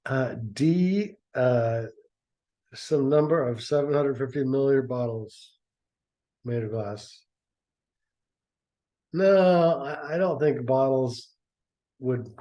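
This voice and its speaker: A man's voice: medium pitch, lively intonation, slow, long pauses, dark tone. A man reading a story aloud and breaking off for sarcastic, mocking commentary. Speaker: reader and commentator.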